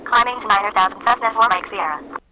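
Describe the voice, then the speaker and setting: A man voicing a brisk small-plane pilot in a flight simulator, with clipped readbacks through a radio filter. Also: high voice, lively intonation. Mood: brisk, routine. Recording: tinny noisy narrowband radio.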